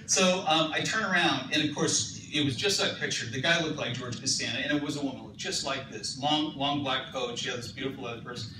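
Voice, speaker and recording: A man telling a lively story to a group, often laughing as he speaks. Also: mid-range voice, brisk, hardly any pauses. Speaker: speaker sharing his story. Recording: echoey room, distant mic, noisy.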